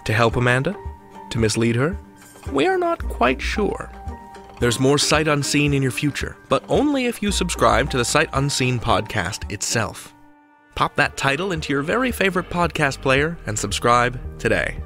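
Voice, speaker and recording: A man's voice: mid-range voice, big pitch swings, normal speed, a bit bright, faint music behind. An American man hosting a podcast countdown with playful, theatrical scripted narration. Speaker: podcast host.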